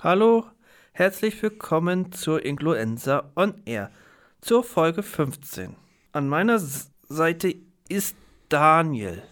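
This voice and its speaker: A man co-hosts a podcast in a notably high voice with a smooth, clear tone. His delivery is very slow, with simple, deliberate phrasing as he introduces and asks questions. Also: big pitch swings, a bit bright. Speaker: podcast co-host. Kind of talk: podcast hosting, prepared questions.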